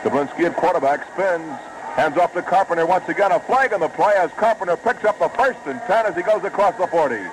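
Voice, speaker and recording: 1950s radio football announcer, crackly. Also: male voice, high-pitched. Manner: brisk, rising with the action. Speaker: play-by-play announcer. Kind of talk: live radio football commentary. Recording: noisy old 1950s radio broadcast.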